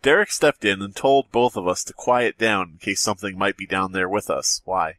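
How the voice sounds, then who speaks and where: A man's voice: fairly low pitch, moderate pace, a bit bright, no room sound. A man co-hosting a podcast, casually joking, swearing and reading aloud. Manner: sweary, wisecracking. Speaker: podcast co-host.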